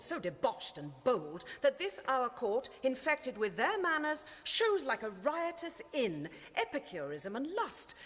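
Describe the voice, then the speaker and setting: A cold, haughty king's daughter in a classical radio drama. Her voice is fairly high and swings widely as she delivers formal, measured reproach, wrapped in long reverb. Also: a bit bright, exaggerated voice. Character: cold, haughty royal daughter scolding her aged father.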